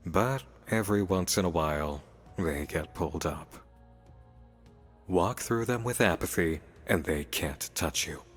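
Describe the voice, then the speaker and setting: An actor playing a sly, playful demon in a visual novel, teasing, cryptic and theatrical. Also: male voice, low voice, a little slow, breathy edge. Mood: cryptic, calm. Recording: long reverb, music behind.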